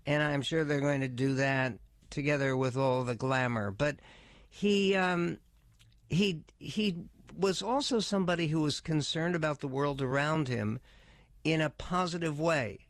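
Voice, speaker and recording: A man's voice: a little high, unhurried, no room sound. Older man hosting a talk radio show. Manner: conversational, frequent uh fillers and restarts, wry asides. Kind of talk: radio commentary, partly reading aloud.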